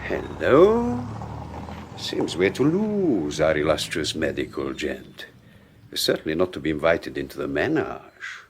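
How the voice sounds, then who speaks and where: A quietly bitter husband in an old radio drama, with a very deep voice that still moves expressively. He sounds dry and ironic, polite on the surface, with a faint hiss behind. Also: very animated, unhurried, full character voice. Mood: wry. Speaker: Carlos Mendoza. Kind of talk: 1980s radio drama acting.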